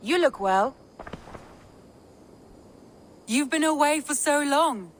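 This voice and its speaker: A woman playing a caring, composed Norse woman in a video game, gentle and attentive. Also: high voice, lively intonation, moderate pace, slight background noise. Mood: relieved concern. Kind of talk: video game cutscene acting.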